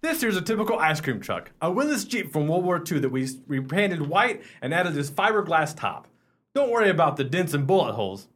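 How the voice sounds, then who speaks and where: A man's voice: on the high side, big pitch swings, brisk, fairly bright tone. A man playing a pushy, cheerfully overconfident ice cream truck driver in a radio play, with folksy, brash salesman patter. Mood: matter-of-fact patter.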